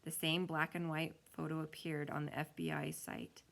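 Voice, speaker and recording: Low-pitched woman reading a story aloud. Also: moderate pace, gravelly. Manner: expressive reading with acted-out dialogue. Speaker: kindergarten teacher reading a children's novel aloud.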